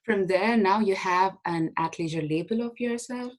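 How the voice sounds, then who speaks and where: Woman interviewer, mid-pitched, courteous and encouraging. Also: normal speed, a little hiss or hum. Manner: polite and welcoming, with short encouraging reactions. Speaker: interview host. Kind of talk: hosting a video interview.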